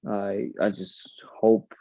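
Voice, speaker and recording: A man from Romania talks in a low, mellow voice, earnest and rambling, tagging his points with little checks to the listener. The audio is dull and lo-fi. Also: flat delivery, slow pace. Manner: earnest, rambling, lots of "right?" and "you know". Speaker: NFT community host. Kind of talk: casual chat.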